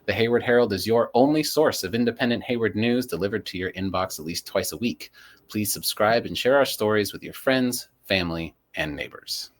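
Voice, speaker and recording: A man's voice: fairly low pitch, brisk. A man hosting a local news podcast, moving between reading headlines and casual discussion.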